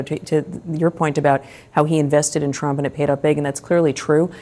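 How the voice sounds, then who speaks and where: A woman's voice: sits low, darkish tone. An American woman, a political journalist, giving analysis in a TV interview.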